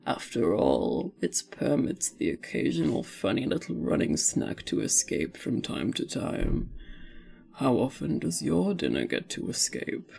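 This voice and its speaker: A woman's voice: wildly swooping pitch, warm tone, heavily acted. A woman voicing a sly, unimpressed storybook cat with a silky, drawling delivery. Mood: languid, sardonic. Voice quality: smooth, low, silky. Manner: drawling, conversational, deliberate. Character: sly, unimpressed talking cat, smug and languid.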